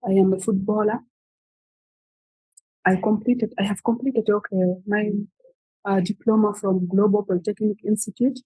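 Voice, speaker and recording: A woman's voice: medium pitch, fairly even intonation, mellow, breathy edge, dry recording, rough recording. A young woman in her twenties from South Sudan, a footballer answering interview questions with frequent fillers and restarts. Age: 20s. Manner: halting, many fillers and restarts. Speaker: guest, footballer.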